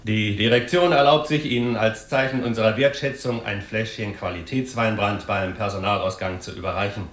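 An actor playing a formal, officious personnel manager in a radio play, making a stiff bureaucratic staff announcement. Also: male voice, lowish voice, fairly even intonation, normal speed, hardly any pauses, a bit bright, far from the mic, lightly acted. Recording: echoey public address, noisy background.

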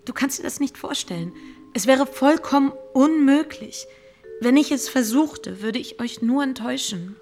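High-voiced, timid, pleading young woman. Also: lively intonation, normal speed, a little mellow, faint music behind. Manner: hesitant, pleading. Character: timid, anxious young poor relation, pleading. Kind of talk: radio drama acting.

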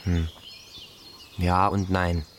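An actor playing a hesitant, quietly curious young man, with halting, questioning, understated speech in a naturalistic radio drama. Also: deep voice, little pitch movement, slight background noise.

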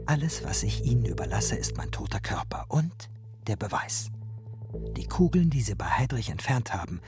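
A grave, resolute doctor, played by a male actor in a radio play. His voice is mid-pitched, with music clearly heard underneath. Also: big pitch swings, moderate pace, whispered, lightly acted. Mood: grave, resolved. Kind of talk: German radio play acting.

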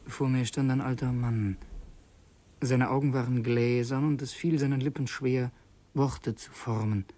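An actor playing an earnest, brooding revolutionary who narrates in an intense, halting way in a radio play. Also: male voice, medium pitch, steady tone, dark tone, natural voice. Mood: uneasy. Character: earnest, brooding male revolutionary narrating his secret errand. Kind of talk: radio play, first-person dramatic narration.

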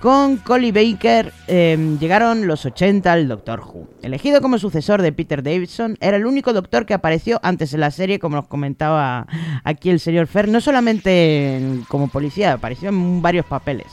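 Lively, high-pitched Spaniard chatting. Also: male voice, brisk, faint music behind. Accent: Spanish, from Spain. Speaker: podcast co-host. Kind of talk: casual chat and commentary.

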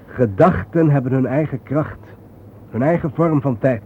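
An actor playing a solemn, reflective journalist in a radio play, speaking in grave, deliberate, philosophical phrases. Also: male voice, medium pitch, close to an everyday voice. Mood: grave, urgent. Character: solemn, reflective male journalist haunted by war dead. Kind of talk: radio drama acting. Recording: thin phone-like old radio recording, faint noise.

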